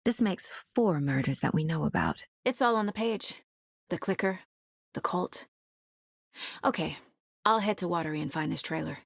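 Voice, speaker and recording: Video game lead: focused, determined female federal investigator, slightly low and breathy, measured, serious read, close dry sound. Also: animated, dry recording, heavily acted. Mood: businesslike. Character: determined, focused female FBI agent working a murder case. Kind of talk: scripted video game dialogue and inner monologue.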